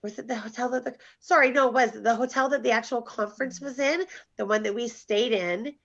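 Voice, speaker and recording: A woman's voice: fairly high pitch, brisk, a bit bright. Chatty woman on a dull video call. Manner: chatty, laughs often. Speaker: host. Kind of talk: casual group video chat.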